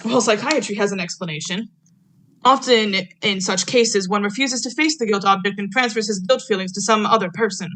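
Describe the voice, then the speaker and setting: A young woman playing a measured, clinical doctor who probes and accuses in a vintage radio drama. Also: medium pitch, fairly bright tone, close to an everyday voice, low-quality recording. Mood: clinical, probing. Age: young adult. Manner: deliberate, clinical.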